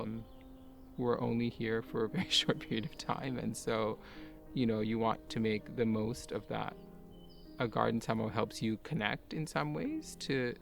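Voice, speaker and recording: Man, middle pitch, deeply gravelly, gentle reflective talk, light laughs. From grew up in Kuwait. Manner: soft-spoken and musing, with light laughs. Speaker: garden owner and artist. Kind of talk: reflective personal talk for a garden video.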